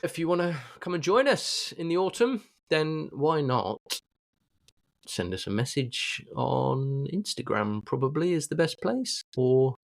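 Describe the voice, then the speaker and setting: A man's voice: on the high side, sing-song, measured pace, gravelly. A man with a British accent chatting casually as a podcast co-host, given to jokey, sarcastic banter. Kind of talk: casual chat.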